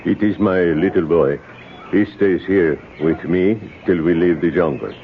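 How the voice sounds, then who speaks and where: A low-pitched man's voice plays an educated, anxious camp servant in a 1970s radio drama. His speech is careful, formal and deferential. The broadcast is dull with light background noise. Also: measured pace. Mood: polite, guarded. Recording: muffled, noisy old radio broadcast.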